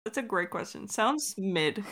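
Bright-voiced young woman, chatting casually. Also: mid-range voice, expressive, unhurried. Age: young adult. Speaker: podcast creator, co-host of a Q&A. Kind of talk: casual chat. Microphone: recorded over Discord call. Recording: online voice call.

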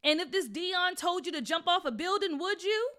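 A woman's voice: sits high, expressive, moderate pace, pitched above the performer's own voice. A woman playing a stern, protective mom. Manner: firm, scolding.

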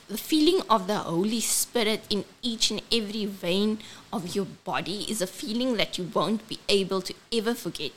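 A South African woman with a squeaky, childlike voice, speaking earnestly as a ministry host and switching between English and Afrikaans. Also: a bit bright, a little hiss or hum. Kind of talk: personal testimony and event announcement.

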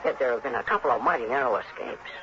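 A man's voice: mid-range voice, pushed and bright, lightly acted. Man acting harried insurance agent on noisy old radio. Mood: earnest. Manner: hurried, folksy, emphatic. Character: harried, chummy, earnest male insurance agent. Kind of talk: early 1960s radio drama acting. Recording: noisy, dull vintage radio recording.

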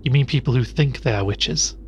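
A man's voice: mid-range voice, fairly quick, close to an everyday voice. A man playing a sceptical, curious interviewer in an audio drama, questioning a strange tale with some disbelief. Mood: incredulous. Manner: questioning, incredulous. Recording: clearly noisy recording.

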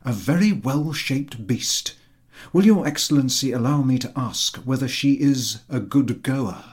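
A man's voice: medium pitch, measured pace, a little mellow, breathy edge, voice pushed up. A man voicing an eager, boastful landowner who fawns effusively on his superior in a story reading. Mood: eager, fawning. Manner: obsequious, effusive, over-polite.

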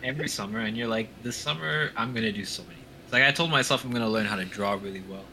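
A man's voice: on the low side, fast, pushed and bright, a little hiss or hum, poor audio. A young man co-hosting a casual podcast, explaining things at length in an earnest way. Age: young adult. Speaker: podcast co-host. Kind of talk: casual chat. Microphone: home mic over a voice call.

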